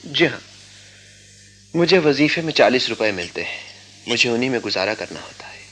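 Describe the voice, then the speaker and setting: A humble but proud young man studying on very little money. His voice is medium-pitched and bright, and he speaks with formal, earnest courtesy in radio drama style. The recording is slightly noisy. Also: very animated, normal speed. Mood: humble, polite. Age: young adult. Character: proud, self-effacing poor young male student. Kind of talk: Hindi radio drama acting.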